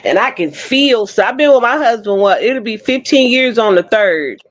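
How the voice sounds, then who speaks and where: A woman in her fifties chatting frankly on an online panel, telling her own stories with lively, blunt humor. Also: on the high side, normal speed. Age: 50s. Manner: frank, animated storytelling with Southern-inflected slang. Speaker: panel co-host. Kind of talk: casual chat. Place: Oklahoma City.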